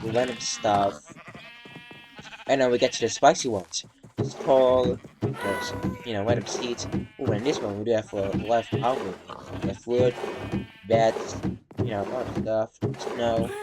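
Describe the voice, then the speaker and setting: A young man from Indonesia narrating a tour of his game world in a halting, rambling way, tired from talking. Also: medium pitch, noisy background. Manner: halting, rambling, many fillers and restarts. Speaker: Minecraft world tour vlogger. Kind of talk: casual gameplay walkthrough narration.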